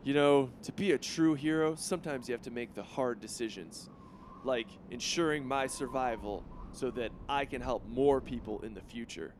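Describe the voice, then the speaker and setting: An actor playing a boastful, cowardly young superhero who talks in a self-serving, blustery and panicky way. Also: male voice, mid-range voice, normal speed, a little hiss or hum, lightly acted.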